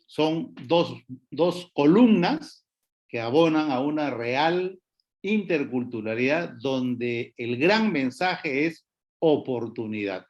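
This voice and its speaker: A man's voice: a little high, lively intonation, slow, a little mellow, muffled recording. A man giving panel remarks, hesitant, with many fillers and restarts.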